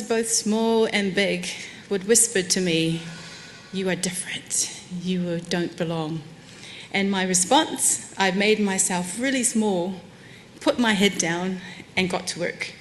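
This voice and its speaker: A woman's voice: mid-range voice, a little hiss or hum. Candid New woman giving a stage talk. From Samoan heritage, New Zealand. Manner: warm, candid, self-deprecating with light laughs. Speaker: conference speaker. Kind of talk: personal keynote talk. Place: conference stage at a lectern, live audience. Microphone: lectern microphone.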